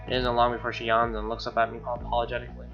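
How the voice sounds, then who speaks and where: A man's voice: medium pitch, completely flat delivery, rapid-fire, fairly bright tone, lo-fi sound, soft background music. A man reading a visual novel aloud as a gamer, mixing narration with informal, slangy asides. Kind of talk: dramatic reading with casual asides.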